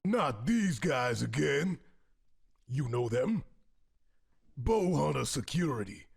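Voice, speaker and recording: A man playing a surly, thuggish villain in an audio drama, gruff and contemptuous, with a gravelly voice. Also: medium pitch, big pitch swings, slow pace, full character voice. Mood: irritated contempt. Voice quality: gravelly, hoarse-edged. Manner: grumbling, contemptuous.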